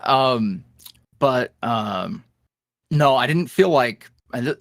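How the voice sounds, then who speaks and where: A man talking candidly as an interview guest about his work as an audio creator, with quick self-deprecating asides. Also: medium pitch, animated, creaky, dry recording, studio quality. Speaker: guest, ASMR roleplay creator. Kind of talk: casual interview chat.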